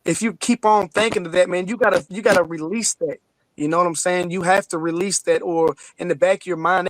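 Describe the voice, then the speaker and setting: High-pitched man, casual podcast guest. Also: a bit bright, no echo, poor audio. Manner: informal, frequent "you know what I'm saying" and "man". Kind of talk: casual conversational talk.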